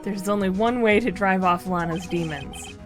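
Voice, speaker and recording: A woman's voice: mid-range voice, moderate pace, soft background music, close to an everyday voice. A woman reading the lines of an earnest young male defense attorney from a game, with a determined, plain delivery. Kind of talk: reading game dialogue aloud on stream.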